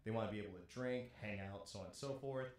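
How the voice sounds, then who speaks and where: A man's voice: fairly low pitch, quick pace. A man of nearly forty talking casually and swearing freely, in a very echoey room. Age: late 30s, nearly forty. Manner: profane. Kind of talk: casual chat. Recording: very echoey room, faint noise.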